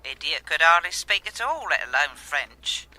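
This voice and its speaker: An actor playing a surly, indignant witness, curt and scornful, in a radio drama. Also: male voice, fairly high pitch, big pitch swings, normal speed, sharp tone, exaggerated voice.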